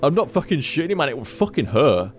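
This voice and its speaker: A man's voice: fairly high pitch, sing-song, brisk, soft background music. A man streaming, chatting casually as he reads out viewer tips, rambling, repeating himself and swearing freely.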